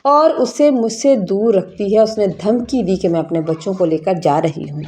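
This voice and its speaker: A woman's voice: mid-range voice, lively intonation, dark tone. A woman reading a scripted, emotional first-person story as its narrator. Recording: music bed behind narration. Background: background music.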